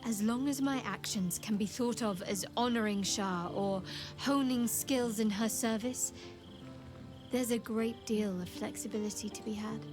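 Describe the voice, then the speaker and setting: A woman playing a young cleric of a dark goddess in a video game, devout and guarded, with a sly, teasing, measured way of talking. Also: fairly high pitch, fairly even intonation, over music, close to an everyday voice. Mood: earnest, guarded. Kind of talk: video game character dialogue.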